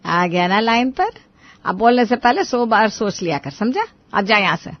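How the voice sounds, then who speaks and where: A woman's voice: a little high, animated, normal speed, dry recording. A woman playing a stern, bossy older Indian mother-in-law who scolds and orders her family in a storytelling voice, on a dull recording. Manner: bossy, sharp, commanding.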